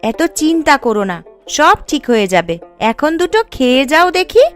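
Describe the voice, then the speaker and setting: A woman's voice: high-pitched, big pitch swings, moderate pace, soft background music. A woman voicing a caring, busy, slightly tart young village wife in a cartoon, with a fussing manner. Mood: concerned. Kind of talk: Bengali cartoon dialogue.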